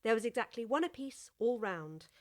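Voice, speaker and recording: A woman's voice: on the high side, animated, moderate pace. A woman narrator reading a scripted children's audiobook story.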